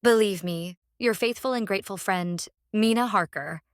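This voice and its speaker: A woman's voice: mid-range voice, moderate pace, smooth, dry recording, clean studio sound, close to an everyday voice. A woman playing a polite, grateful young wife reading out a letter formally and sincerely in an audiobook.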